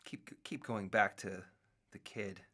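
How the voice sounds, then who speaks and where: A man's voice: fairly low pitch, slow, pushed and bright. A middle-aged man hosting his own show, rambling casually with many restarts and fillers. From United States. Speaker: podcast host. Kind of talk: casual solo talk.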